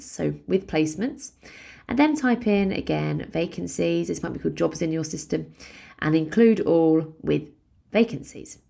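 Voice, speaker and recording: Lower-pitched woman presenting a tutorial. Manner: clear step-by-step explaining. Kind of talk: instructional tutorial narration, conversational.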